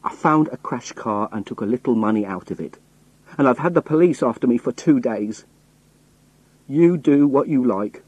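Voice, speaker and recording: A man voicing a hard-up sailor in a story, rough, pleading and defiant as he protests. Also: medium pitch, sing-song, moderate pace, pauses often, a little mellow, no room sound, lo-fi sound. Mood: desperate begging.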